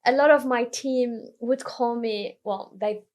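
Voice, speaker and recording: A woman from Lebanon, a podcast guest telling her career story in a relaxed way, often restarting her sentences. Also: fairly high pitch, a little slow. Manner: relaxed, self-correcting storyteller. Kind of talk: casual interview conversation.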